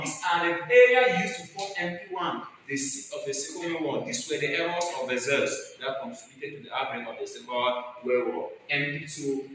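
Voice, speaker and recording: Forceful male teacher in a very echoey room. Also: mid-range voice. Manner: emphatic, repeats key phrases. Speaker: history teacher. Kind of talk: exam lecture, explaining point by point. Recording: very echoey noisy hall, far from mic.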